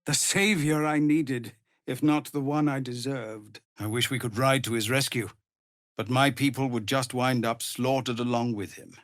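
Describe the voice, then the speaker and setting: An actor playing a weary, dutiful tiefling ex-soldier who leads refugees in a video game, speaking in a grave, measured, earnest way. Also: male voice, mid-range voice, fairly even intonation, dry recording, clean studio sound, lightly acted. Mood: weary, regretful. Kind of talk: dramatic video game character acting.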